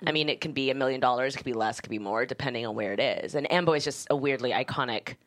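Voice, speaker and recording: Low-voiced woman chatting casually. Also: rapid-fire. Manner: chatty, informal, frequent "like". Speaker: screenwriter guest. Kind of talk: casual anecdotal interview chat.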